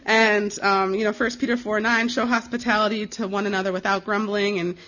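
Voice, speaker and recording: A young American woman speaking as a missionary, giving an informal slide presentation in a conversational, earnest and halting way. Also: medium pitch, flat delivery, a bit bright. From United States. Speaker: missionary giving a ministry report. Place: live talk before an audience. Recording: dull, slightly lo-fi recording.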